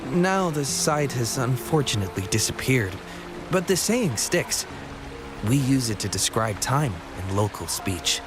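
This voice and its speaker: A man's voice: medium pitch, lightly acted. A man playing a helpful local townsman in a fantasy video game, explaining like a storyteller, with music behind him.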